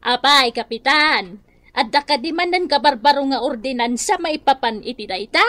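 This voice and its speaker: A woman's voice: high voice, lively intonation, moderate pace, pushed and bright, no echo. A woman with an Ilocano Filipino accent acting an inquisitive, giggly village woman in a radio drama. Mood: curious.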